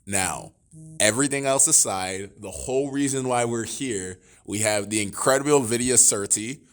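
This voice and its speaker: A man's voice: medium pitch, moderate pace. A young man hosting a podcast, reading announcements and joking with his guest. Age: young adult. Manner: joking, upbeat, teasing the guest. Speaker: podcast host.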